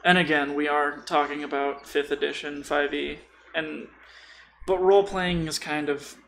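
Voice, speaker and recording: A man's voice: a little high, fairly even intonation, moderate pace, a bit bright, rough recording. A man chatting casually about tabletop gaming in a joking, informal way with some mild swearing. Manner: joking, informal, mildly profane. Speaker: podcast host.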